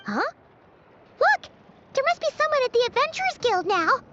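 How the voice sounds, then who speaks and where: A woman's voice: very high-pitched, sing-song, moderate pace, heavy background noise. A woman voicing a tiny, cheerful, fairy-like girl companion in a video game, bubbly and a little boastful. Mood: excited. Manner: bubbly, boastful, speaks of herself in third person. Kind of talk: video game character dialogue. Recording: dull game stream audio under music.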